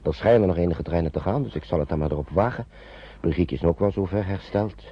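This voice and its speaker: An older man acting in a Dutch radio play as a reluctant, harried elderly English gentleman, hesitant and stammering in his protests, with a husky voice. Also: sits low, fast talker. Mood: concerned. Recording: dull, dry old radio recording.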